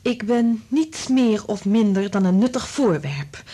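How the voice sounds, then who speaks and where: Tormented married woman, radio drama, heavily husky and breathy, intense, bitter, slipping into whispers. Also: mid-range voice, lively intonation, a little slow, mellow. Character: anguished married woman made telepathic by a serum, bitter.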